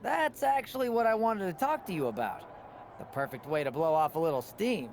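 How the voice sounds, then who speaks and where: High, eager event booker. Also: male voice, expressive, brisk, slight background noise. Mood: concerned, pitching. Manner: chirpy, salesman-like. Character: eager, self-important, wheedling male event booker. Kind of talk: game cut-scene dialogue.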